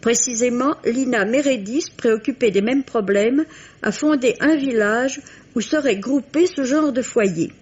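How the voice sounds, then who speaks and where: A woman with a fairly high, husky voice presents a book review. She speaks in a deliberate, articulate, literary way. The recording is dull and lo-fi, in the manner of vintage radio. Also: darkish tone. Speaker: book critic.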